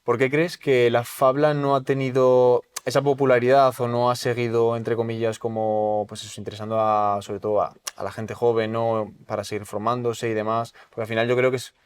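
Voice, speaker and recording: A man's voice: medium pitch, level tone, normal speed, hardly any pauses, smooth. A man hosting a brand podcast, asking long, rambling questions full of hesitations. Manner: hesitant, many "eh" fillers and restarts, rambling questions. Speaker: podcast host. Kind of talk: casual interview chat.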